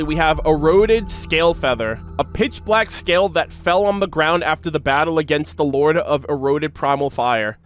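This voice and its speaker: A man doing casual reaction commentary and reading game lore aloud, as an enthusiastic fan who uses slang. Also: fairly high pitch. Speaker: gaming reaction video creator.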